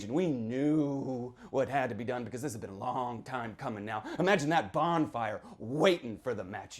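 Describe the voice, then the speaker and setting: Man telling a horror tale with wild pitch swings. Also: gravelly. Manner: dramatic, swinging from hushed whispers to shouted outbursts. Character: sinister small-town local man recounting a grim tale. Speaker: author performing a reading from a novel. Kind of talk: theatrical first-person horror storytelling to an audience.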